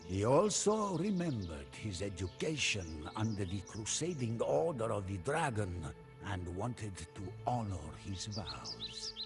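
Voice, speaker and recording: A man playing a soldier who recounts a mysterious tale as a solemn, theatrical, measured game narrator. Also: lowish voice, very animated, heavily acted. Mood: grave. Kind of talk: dramatic scripted storytelling. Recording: studio narration under prominent music.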